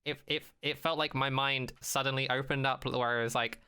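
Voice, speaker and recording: A man's voice: medium pitch, fairly even intonation, normal speed, sharp tone, clean tone. A man co-hosting a podcast in a casual, informal and rambling way, with a jokey streak. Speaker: podcast co-host. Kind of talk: casual banter.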